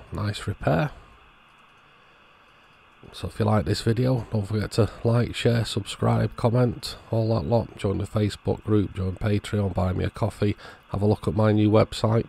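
A man narrating a hands-on repair walkthrough in a casual way, as the host of a video channel, with a gravelly, husky voice. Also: on the low side, dark tone.

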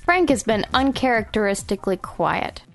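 A woman's voice: on the high side, sing-song, normal speed, faint music behind. A woman playing a sassy, skeptical member of a comic gang in an audio sketch. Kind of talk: amateur audio comedy sketch.

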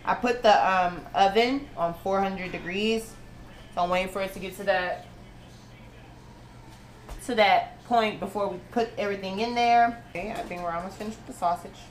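A woman's voice: mid-range voice, moderate pace, slight background noise. A young woman, narrating her home cooking step by step and often checking in with "okay?". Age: young adult. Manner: chatty, walks through each step, tags lines with "okay?". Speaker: vlogger. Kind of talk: casual cooking vlog narration. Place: home kitchen, indoors. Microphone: handheld phone camera.

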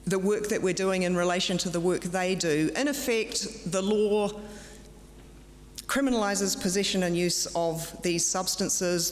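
Middle-aged woman, podium microphone, conference room, long hall reverb, firm and direct. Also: fairly low pitch. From New Zealand. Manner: firm, direct and measured, with some fillers. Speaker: conference speaker. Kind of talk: formal presentation at a podium. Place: large conference room with audience.

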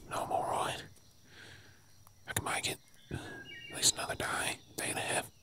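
An Australian man playing a weary, protective survivor of a zombie apocalypse in a whispered ASMR role play, hushed, urgent and coaxing. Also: normal speed, lightly acted. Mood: weary, resolute.

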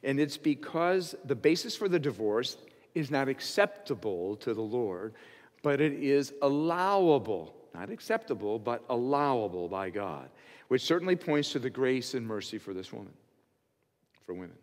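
A man in his sixties, a pastor, preaching an expository sermon from a church pulpit, earnest and rising in pitch and volume on key points. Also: animated, normal speed. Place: church stage behind a pulpit. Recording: long hall reverb of a church sanctuary.

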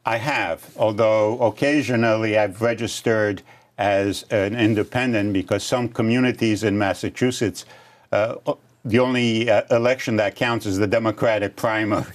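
An older man from Romania, a candidate being interviewed in a studio, answering in a measured way with many restarts. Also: on the low side, fairly even intonation. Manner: measured, with frequent restarts and fillers. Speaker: congressional candidate guest. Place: dark studio set, seated at table.

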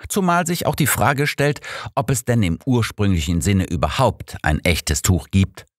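Audiobook old master detective read by a man: low-pitched, precise, self-assured, explanatory. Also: normal speed, no room sound, studio quality, lightly acted. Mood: explaining, assured. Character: old master detective, analytical, sure of himself.

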